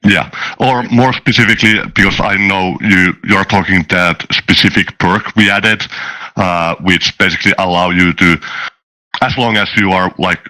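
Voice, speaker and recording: A man with a deep, bright-edged voice talks casually in a panel discussion. He explains things haltingly, backing up and rephrasing as he works through each point. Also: rough recording. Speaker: tabletop game designer and game master.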